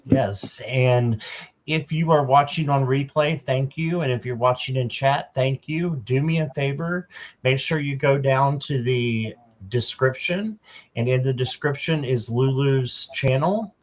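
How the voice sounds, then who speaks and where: A man in his fifties hosting a casual livestream, greeting viewers by name and filling pauses with ums. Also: mid-range voice. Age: 50s. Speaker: livestream host.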